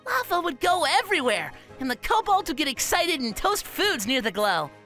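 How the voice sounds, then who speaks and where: Bubbly, high, bright kobold. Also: female voice, sing-song, moderate pace, soft background music, full character voice. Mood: excited. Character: bubbly, excitable, friendly little kobold.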